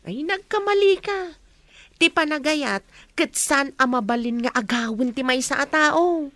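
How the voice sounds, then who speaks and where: A woman's voice: high voice, unhurried, a bit bright, no echo. An actress playing an earnest, caring older woman who gives emotive advice in a radio soap opera. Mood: admonishing.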